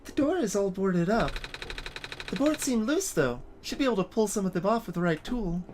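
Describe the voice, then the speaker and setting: A man voicing an innocent, curious child traveller in a video game, soft and questioning. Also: sits high, sing-song, normal speed, background noise, voice pushed up. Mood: matter-of-fact.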